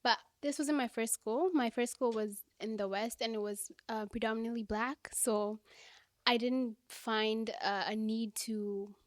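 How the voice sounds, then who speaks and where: A young Kenyan woman with a slightly high voice speaks softly and hesitantly, sometimes dropping to near a whisper. The sound is close and dry. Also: steady tone, a little slow, no room sound. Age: young adult. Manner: soft-spoken, hesitant, clears throat. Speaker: youth podcast panelist. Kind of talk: casual group discussion.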